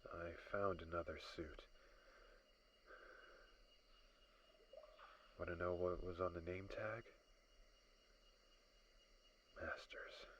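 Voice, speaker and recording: A man playing a stranded space crash survivor in an improvised horror audio drama, unraveling and paranoid, halting and muttering, often dropping into whispers. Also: deep voice, steady tone, muffled recording, very noisy. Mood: grim, fearful.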